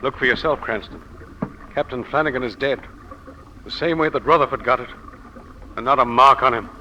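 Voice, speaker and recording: An actor playing a tense, commanding shipowner in a formal, emphatic old-time radio style. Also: male voice, mid-range voice, fairly quick, bright, forceful tone, highs cut off. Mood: grim, guarded. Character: tense commanding plantation owner and shipowner, Mister Carew. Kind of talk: 1940s radio acting. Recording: noisy old radio drama recording.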